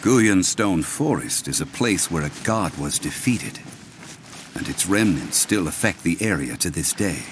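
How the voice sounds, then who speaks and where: An actor playing a calm, dignified male scholar of history in a game, speaking in a measured, explanatory way. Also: low-pitched, slight background noise. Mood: composed. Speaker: game character. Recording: game audio with loud music behind.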